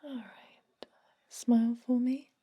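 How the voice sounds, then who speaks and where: A woman voicing a gentle, reassuring vampire dentist in a soft, breathy whisper for an ASMR roleplay. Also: a little high, fairly even intonation. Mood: gentle, advising. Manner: soothing, reassuring, unhurried.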